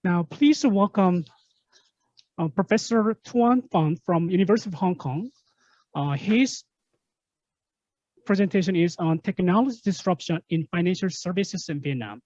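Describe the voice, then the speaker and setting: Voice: mid-range voice, expressive, normal speed, long pauses, darkish tone, no echo. Formal webinar moderator on a dry call. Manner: formal, reads from a script. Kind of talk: introducing speakers. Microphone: video call microphone. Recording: dry, dull video call audio.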